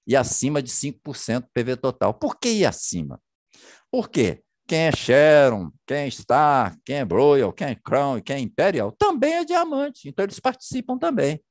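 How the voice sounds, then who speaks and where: A man's voice: medium pitch, very animated, normal speed, no echo. Chatty man presenting slides. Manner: chatty, many checking tags and rhetorical questions. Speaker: business presenter. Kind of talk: presentation over shared slides. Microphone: computer mic on a video call.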